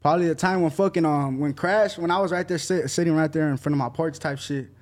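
A man's voice: on the high side, quick pace. An eighteen-year-old man who raps, chatting casually in slang with lots of fillers. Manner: laid-back street slang, lots of fillers. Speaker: rapper. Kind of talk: casual interview chat.

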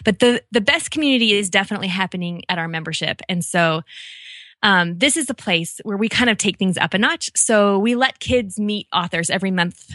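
American woman, middle-aged, mid-pitched, enthusiastic podcast guest, conversational, very dry sound. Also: a little fast, a bit bright, no echo. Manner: enthusiastic, chatty. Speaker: podcast guest, read-aloud community founder. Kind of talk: casual conversational interview.

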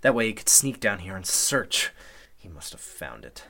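A man's voice: low-pitched, big pitch swings, moderate pace, vocal fry, pushed and bright, exaggerated voice. An actor playing a suspicious, take-charge man in a golden-age style radio mystery, with an urgent, earnest delivery. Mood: urgent, puzzled.

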